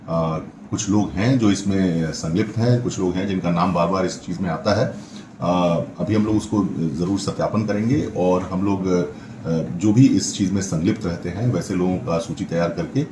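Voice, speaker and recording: Male senior police officer, low voice, formal halting press statement, roomy sound with clear noise. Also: fairly even intonation. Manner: measured, hesitant, formal. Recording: echoey room, clear background noise.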